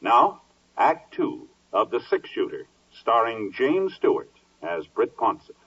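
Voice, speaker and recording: Husky American male radio announcer. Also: mid-range voice, big pitch swings, fairly bright tone. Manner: formal, measured. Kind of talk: scripted 1950s radio announcing. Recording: muffled vintage radio broadcast, distant.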